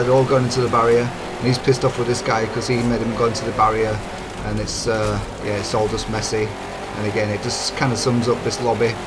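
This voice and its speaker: A man with a hoarse, croaky voice, giving easygoing, chatty commentary over his own racing game footage as a channel host. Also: mid-range voice. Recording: game engine noise behind. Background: racing car engine sounds.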